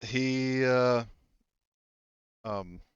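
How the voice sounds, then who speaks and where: A man runs a tabletop roleplaying game in a smooth, medium-pitched voice. He narrates haltingly, improvising and relaying characters' words. The recording is dry and slightly dull. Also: no room sound. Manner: halting, speaks for characters in indirect speech. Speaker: game master.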